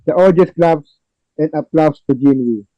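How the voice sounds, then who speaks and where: A man with a dark, mellow voice narrates a play's stage directions in short scripted statements. His pitch is on the high side for a man, and the recording is a bit muffled. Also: normal speed. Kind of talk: scripted narration in a play table read.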